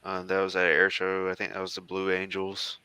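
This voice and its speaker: An American man in his thirties chatting casually as he talks through old photos, halting and rambling as he goes. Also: low-pitched, completely flat delivery, bright, forceful tone, clean tone, no room sound. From southeastern United States. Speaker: guest, former Marine air traffic controller.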